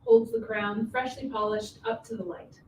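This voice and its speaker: A woman's voice: fairly high pitch, steady tone, normal speed, darkish tone. A woman reading scripted stage directions as narration, recorded in a roomy space away from the microphone. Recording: roomy, distant mic, faint noise.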